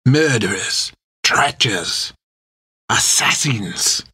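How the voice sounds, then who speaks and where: A man voicing a coldly menacing old French prison-ship captain in an audiobook, with a French accent and slow, mock-courteous speech that savors words. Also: medium pitch, a bit bright, slightly rough, dry recording, clean studio sound. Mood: sinister relish. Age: older.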